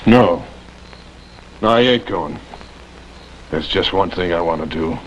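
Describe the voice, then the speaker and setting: An actor playing a blunt, defiant civilian army scout with folksy frontier speech in a 1950s TV western. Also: male voice, sits low, takes it slowly, dull audio, slight background noise. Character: plain-spoken, defiant civilian army scout, protective and wary. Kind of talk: 1950s television western acting.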